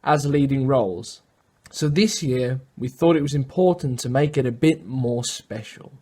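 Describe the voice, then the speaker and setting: A man's voice: mid-range voice, moderate pace, a little mellow, echoey room. A man presenting and interviewing in a casual, informal way.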